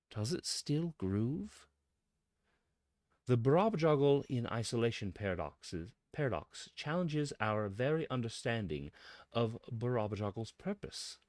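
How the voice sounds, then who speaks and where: A man delivering a scripted mock-academic monologue in a pompous, philosophical manner with dramatic pauses. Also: medium pitch, darkish tone, no echo. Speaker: presenter of a mock-scholarly essay.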